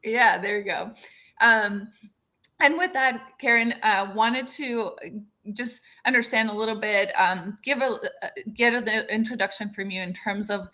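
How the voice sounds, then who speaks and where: A woman hosts a casual community interview over a video call. She speaks in halting, stop-start phrases and keeps restarting her sentences as she goes. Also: on the high side, sharp tone. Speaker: host.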